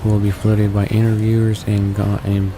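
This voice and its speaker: Man, low voice, little pitch movement, let's play read-along of a visual novel, occasional stumbles, music in the background. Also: completely flat delivery, moderate pace. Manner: stumbles over words while reading. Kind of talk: reading game text aloud.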